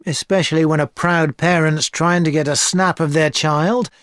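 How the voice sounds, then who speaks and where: An actress playing an experienced tutor advising a student in a scripted exam dialogue, calm and measured. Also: female voice, sits low, no echo, close to an everyday voice. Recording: dry studio recording.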